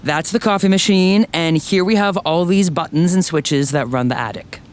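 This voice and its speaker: A man's voice: on the high side, normal speed, lightly acted. Man acting an easygoing control-room guide, noisy background. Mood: casual, sheepish. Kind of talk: scripted sci-fi comedy audio drama.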